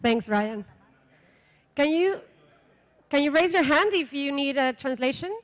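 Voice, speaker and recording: A woman's voice: high voice, expressive, a little slow. A woman from Mexico with a Mexican Spanish accent, opening and presenting a conference workshop in a casual, hesitant way with many fillers. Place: conference room.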